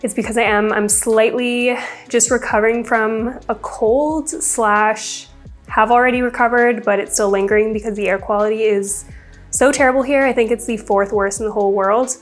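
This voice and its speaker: Congested young female vlogger, rambling casual chat, slightly high pitch, soft music under. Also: fairly even intonation, moderate pace. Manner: chatty, upbeat, rambling product talk. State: congested from a cold. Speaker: beauty vlogger. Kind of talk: casual get-ready-with-me chat.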